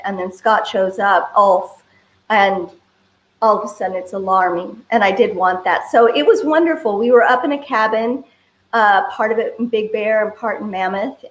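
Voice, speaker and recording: A woman speaking as a film director guest in a casual interview, in loosely strung sentences dotted with "you know". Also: medium pitch. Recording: roomy, echoing voice.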